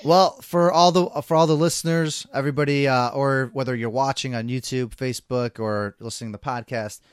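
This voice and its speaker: Brief: male podcast host, smooth resonant voice, upbeat, tripping over his words, dry close mic. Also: mid-range voice, steady tone, a little fast, no echo. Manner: upbeat, stumbling, repeats words. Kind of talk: casual interview hosting.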